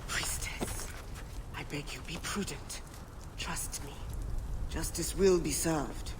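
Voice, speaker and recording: Higher-voiced, fussy Egyptian antiquarian man. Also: slow, noisy background. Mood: defensive, placating. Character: anxious, scholarly, opportunistic ancient Egyptian antiquarian man. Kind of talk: dramatic game cutscene acting.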